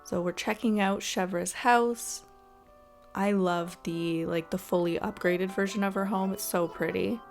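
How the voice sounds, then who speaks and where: A woman's voice: mid-range voice, fairly even intonation, measured pace, vocal fry, faint music behind. A woman giving casual, chatty gameplay commentary in an informal way. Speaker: gaming video host.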